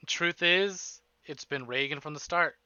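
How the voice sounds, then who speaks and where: A man hosting a casual livestream, chatting in an excitable, playful, profane way. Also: fairly high pitch, a little slow, clean tone, no echo. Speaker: livestream host. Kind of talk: casual streaming chat between visual novel readings. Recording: dull lo-fi stream audio under music. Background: prominent music.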